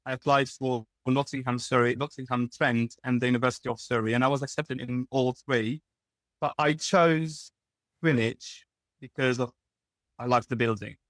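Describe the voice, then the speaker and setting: A man's voice: medium pitch, steady tone, normal speed, fairly bright tone, dry recording. Candid young Lebanese man. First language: Arabic. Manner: candid, restarts and self-corrections. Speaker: guest, pharmacist. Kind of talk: casual interview talk.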